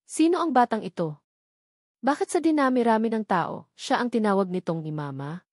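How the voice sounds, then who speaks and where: A woman voicing an earnest young female teacher in a storybook, respectful and gentle. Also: fairly high pitch, expressive, moderate pace, no echo, studio quality. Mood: bewildered, anxious.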